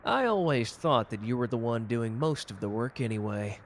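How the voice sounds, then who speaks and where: A man's voice: mid-range voice, animated, normal speed, slight background noise, lightly acted. A man playing a cocky, gallant and protective customer who flirts teasingly in a romantic roleplay audio drama. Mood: teasing, friendly. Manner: suave, teasing, intimate one-sided conversation.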